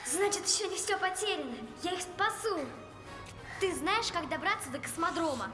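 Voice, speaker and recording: A woman voicing a plucky, determined girl in an animated film, earnest and a little bossy. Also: extremely high pitch, moderate pace, over music.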